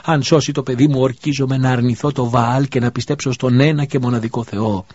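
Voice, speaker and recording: A man's voice: mid-range voice, expressive, darkish tone, husky, dry recording, voice pushed up. A man voicing a desperate widowed mother who pleads and wails in grief, in a dull lo-fi recording. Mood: pleading. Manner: pleading, then wailing curses. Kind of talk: audiobook character voice.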